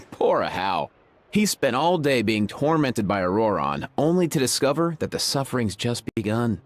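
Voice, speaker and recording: An actor voicing an easygoing, teasing young animal vet in a game, who laughs often. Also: male voice, mid-range voice, very animated, measured pace. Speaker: dragon vet. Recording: game cutscene with music behind.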